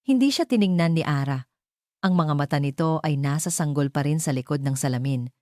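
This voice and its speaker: A low-pitched woman storyteller with a Filipino accent reads a scripted drama. Her tone is soft and mellow, and the sound is dry and close, with no room around it. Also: brisk, no room sound.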